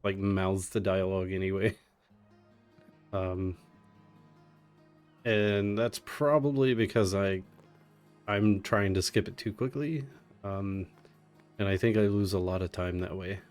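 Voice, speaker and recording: A man with a low voice does casual live commentary on a video game. He takes his time and talks with playful sarcasm, while game music plays faintly behind him. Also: measured pace, pauses often, darkish tone, clean tone. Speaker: speedrunner commentating a live run. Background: game music and dialogue.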